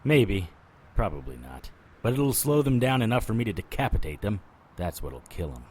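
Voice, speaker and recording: A man playing a hard-boiled occult detective in an audio drama, narrating and talking in a dry, sardonic way with a husky voice. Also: fairly low pitch, big pitch swings, normal speed, darkish tone, a little hiss or hum. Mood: wry. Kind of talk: first-person noir narration and dialogue in audio drama.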